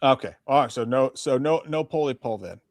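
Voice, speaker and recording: A man co-hosting a live movie chat, animated and profane. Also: medium pitch, no room sound, studio quality. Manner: animated, profane, quick to laugh. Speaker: podcast co-host.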